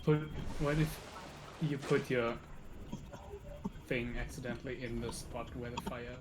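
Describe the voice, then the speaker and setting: A man with a medium-pitched voice chats casually over gameplay. He teases with dry irony and phrases things haltingly. There is clear noise on the recording. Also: steady tone.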